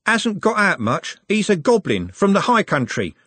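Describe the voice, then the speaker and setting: Man playing a slangy, high-pitched street lad. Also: very animated, normal speed, a bit bright, husky, dry recording, exaggerated voice. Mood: protective. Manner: slangy, blunt. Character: rough, good-natured, protective young working-class man.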